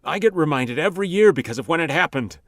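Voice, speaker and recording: A man's voice: on the high side, expressive, fast, no echo. A man playing a guilt-ridden, haunted man in a radio mystery drama, speaking hesitantly and troubled. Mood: troubled, pleading.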